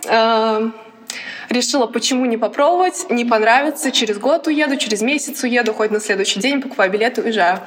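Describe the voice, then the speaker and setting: A woman's voice: on the high side, a little fast, big-room sound. A young woman from Latvia, a guest athlete, chatting casually and laughing often in an interview. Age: young adult.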